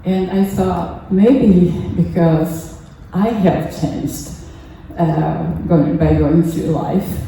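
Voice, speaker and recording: A woman's voice: on the low side, mellow. Older Japanese-accented woman in an echoey hall. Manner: halting, earnest, pausing often mid-phrase. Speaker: lay speaker at a Buddhist temple service. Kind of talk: personal testimony talk to a congregation. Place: temple hall during a service. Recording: echoey room, distant mic, faint noise.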